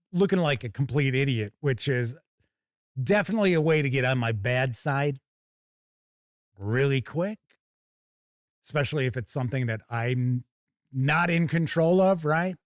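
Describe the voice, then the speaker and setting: A man's voice: medium pitch, lively intonation, measured pace, pauses often, dry recording, studio quality. A man hosting a tabletop gaming show in a casual live-stream style, candidly giving opinions and rambling through anecdotes.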